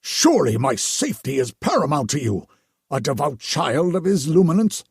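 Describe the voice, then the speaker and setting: A man's voice: very animated, normal speed, breathy edge, no echo, clean studio sound, full character voice. A man voicing a nervous, self-important male priest who talks in a fawning, pompous way. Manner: fawning and pompous, rising to shrill insistence.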